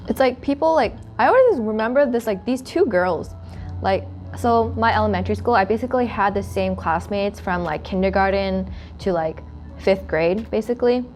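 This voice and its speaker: A woman's voice: mid-range voice, lively intonation, normal speed, a little mellow, faint music behind. Chatty young woman, Korean first language. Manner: storytelling, frequent "like" fillers. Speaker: student club podcast host. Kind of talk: casual chat.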